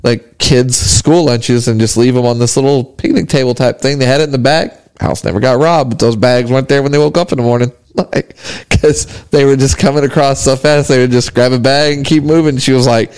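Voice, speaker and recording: A man's voice: medium pitch, fairly even intonation, a little fast, few pauses, darkish tone, clear, resonant tone. A man co-hosting a casual podcast, telling laid-back family stories with easy laughs. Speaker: podcast co-host. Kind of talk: casual chat.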